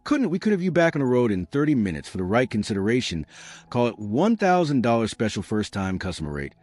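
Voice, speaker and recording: A man's voice: medium pitch, very animated, fast, a little mellow. A man voicing a menacing male biker gang leader in a narrated story, smug and falsely friendly with feigned concern. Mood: smug extortion.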